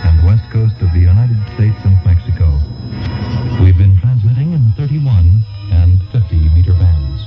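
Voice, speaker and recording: A man's voice: sits low, expressive, moderate pace. A man giving a formal station announcement in 1960s radio style, recorded from shortwave. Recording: dull, band-limited vintage shortwave radio recording. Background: music.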